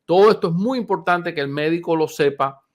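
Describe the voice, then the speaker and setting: A man in his fifties, a doctor, giving an informal health talk to viewers, unhurried and conversational with many pauses and restarts. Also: a little high. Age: 50s.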